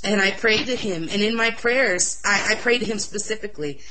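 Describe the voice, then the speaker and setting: A young woman with a loud voice, sharing an earnest, excitable personal religious testimony in an interview. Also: medium pitch, fairly quick, background noise.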